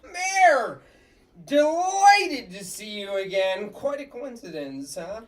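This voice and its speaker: A gruff, boastful puppet guy from a sitcom, acted by a woman, a bit off the mic. The delivery is unhurried but swings widely, full of bluster and exclamation. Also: fairly high pitch, very animated, distant mic, very noisy. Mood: blustery. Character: gruff, excitable, boastful male puppet lawyer. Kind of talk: sitcom table read.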